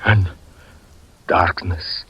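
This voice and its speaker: A man's voice: drawn-out words, lo-fi sound, heavy background noise. An actor in 1940s radio acting style, playing a haunted man who breathlessly recounts his own murder. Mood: dread. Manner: intense, breathless dramatic narration.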